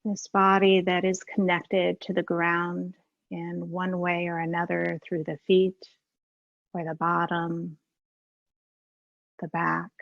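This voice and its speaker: A woman leading a guided meditation as a teacher, unhurried and leaving long pauses. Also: on the low side, monotone, vocal fry, no echo, highs cut off.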